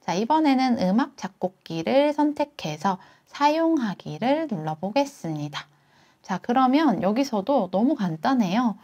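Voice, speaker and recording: A woman hosting a software tutorial, walking through each step as she explains it. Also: on the high side, very animated, measured pace. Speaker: tutorial host. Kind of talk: software tutorial, screen walkthrough.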